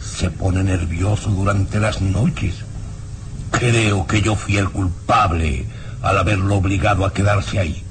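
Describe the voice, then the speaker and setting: An actor playing a cold, calculating baron and father in a radio drama, speaking deliberately with dismissive, placating phrasing. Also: low voice, fairly even intonation. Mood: coolly dismissive.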